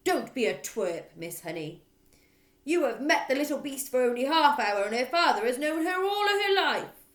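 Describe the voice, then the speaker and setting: A woman voicing a bullying middle-aged headmistress in a storybook, who barks and shouts with open contempt. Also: sits high, sing-song, moderate pace, reverberant, pitched above the performer's own voice. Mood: outraged.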